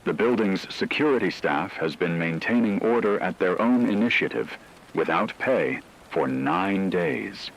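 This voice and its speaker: A man playing a grave radio newsreader in a fictional broadcast, reading scripted reports in a formal, measured, solemn way. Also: fairly low pitch, big pitch swings, creaky, exaggerated voice, low-quality recording. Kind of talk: scripted news narration.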